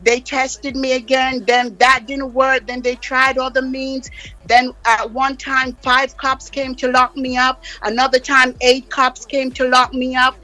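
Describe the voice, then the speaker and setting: Passionate high-voiced Jamaican woman. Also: level tone, measured pace, pushed and bright, rough recording. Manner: passionate, insistent, emphatic. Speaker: interview guest. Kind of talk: heated interview talk. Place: radio studio.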